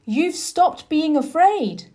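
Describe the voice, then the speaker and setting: A woman's voice: high voice, expressive, slow pace, warm tone, voice pushed up. A woman reading a children's storybook, voicing a kind, confident country boy who encourages with emphasis. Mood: proud.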